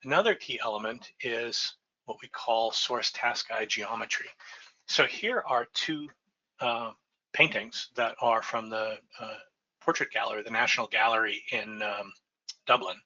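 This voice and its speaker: Bright-voiced man lecturing on a video call. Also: on the low side, measured pace, highs cut off. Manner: conversational, frequent "right?" checks and fillers. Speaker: lighting designer presenting a webinar. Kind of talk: slide lecture, explanatory. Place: home office with bookshelves. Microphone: webcam and computer mic on a video call.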